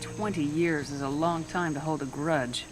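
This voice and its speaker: A woman's voice: deep voice, steady tone, normal speed, natural voice, low-quality recording. A woman playing a confident military commander in a video game, direct and composed. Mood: cool, probing. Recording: music behind the voice.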